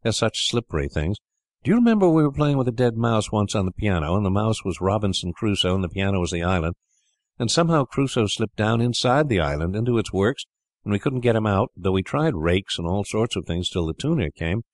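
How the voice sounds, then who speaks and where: A man's voice: fairly low pitch, animated, a little fast, no room sound, studio quality, lightly acted. A man voicing a cheeky older boy who teases bluntly, in an audiobook reading. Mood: eager.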